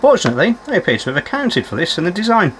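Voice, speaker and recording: A man's voice: high voice, sing-song, rapid-fire, very noisy. A man giving unscripted running commentary as he works on a repair, musing aloud and putting questions to himself with dry, understated humour. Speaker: electronics repairer presenting a repair video.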